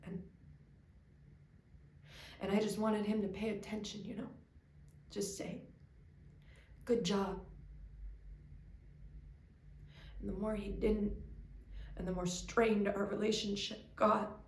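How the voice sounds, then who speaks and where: A woman performing a dramatic monologue as a grieving, self-doubting young cook, speaking in a halting, confessional way. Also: medium pitch, level tone, lightly acted. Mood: intense, driven. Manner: halting, hesitant, confessional, with stammered restarts. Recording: echoey room, clear background noise.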